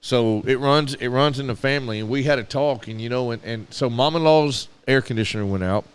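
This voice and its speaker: A man's voice: medium pitch, fairly quick, clean tone. A middle-aged man with a Southern American accent, co-hosting a casual radio podcast and telling stories earnestly. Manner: earnest, storytelling, with repeated restarts. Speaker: podcast co-host.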